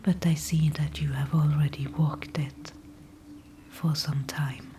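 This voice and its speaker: A woman voicing an ancient, calm and wise female tree spirit in an ASMR audio roleplay, speaking only in a soft whisper with formal, old-fashioned phrasing. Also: low voice, slow, slight background noise. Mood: calm, knowing. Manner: soft unvoiced whisper throughout.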